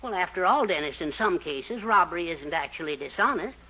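Scheming, flowery middle-aged fellow, sharp bright voice, old radio comedy, muffled recording. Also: male voice, sits high, lively intonation, a little fast, slightly rough, full character voice. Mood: fretful, scheming. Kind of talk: 1940s radio sitcom acting.

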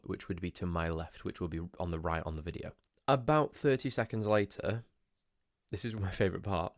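A man's voice: deep voice, dry recording, studio quality. A man hosting his own podcast, sounding groggy as if just woken up, telling a story in a rambling, chatty way with rhetorical questions and restarts. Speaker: podcast host. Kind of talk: casual solo podcast storytelling.

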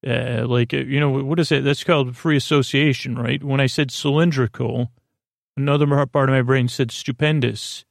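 Creaky-voiced man, rambling and soothing. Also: mid-range voice, fairly even intonation, no room sound, studio quality. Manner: meandering, halting, trails off into tangents; soothing, lulling. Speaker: podcast host. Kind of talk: rambling, improvised bedtime monologue.

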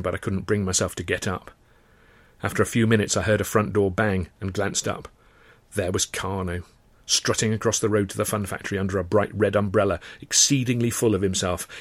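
A man reading a first-person novel as dramatic audiobook narration, expressive and often in short clipped phrases. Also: on the low side, dry recording. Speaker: author reading his own novel.

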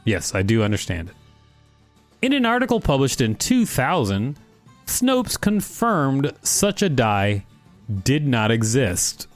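A man co-hosting a podcast, chatting casually and reading an article aloud with wry, unhurried banter. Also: mid-range voice, huge pitch swings, soft background music. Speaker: podcast co-host.

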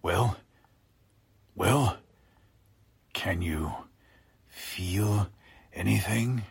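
A husky, deep-voiced mad scientist. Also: male voice, heavily acted. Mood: anxious, disappointed. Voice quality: husky, gravelly. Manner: slow, intense, breathy whispering and urgent commands. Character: deranged male scientist, obsessive, sinister, commanding his assistant. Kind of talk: radio drama acting.

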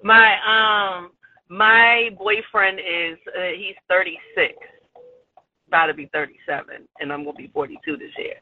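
High-voiced man on a tinny phone line. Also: fairly even intonation, a little slow. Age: 40s. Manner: upbeat, encouraging, laughs often. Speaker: podcast guest. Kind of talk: casual chat. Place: in a car on the street. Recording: tinny phone line with faint road noise.

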